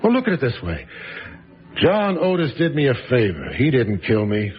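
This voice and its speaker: A man's voice: mid-range voice, wildly swooping pitch, moderate pace, slightly rough, lo-fi sound. An actor playing a wry, reflective former novelist in a radio drama, musing over his past.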